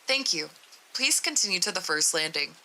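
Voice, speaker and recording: A woman voicing a calm, insistent research doctor in a horror story read-aloud, formal and detached. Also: fairly low pitch, normal speed, voice pushed down, exaggerated voice.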